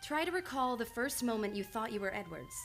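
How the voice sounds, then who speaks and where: A woman's voice: fairly high pitch, lively intonation, a little fast, vocal fry, fairly bright tone, soft background music. A woman playing a composed, earnest psychoanalyst in an old-time radio play, probing and insistent with a patient she cares for. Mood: wry, composed. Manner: insistent, probing, clinical yet affectionate. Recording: live radio play with background noise.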